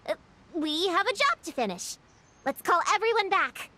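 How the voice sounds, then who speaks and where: A woman's voice: very high-pitched, very animated, moderate pace, exaggerated voice. A woman voicing an earnest, responsible young girl in a video game, matter-of-fact as she reasons things out. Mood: businesslike. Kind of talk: video game character acting. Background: loud game music.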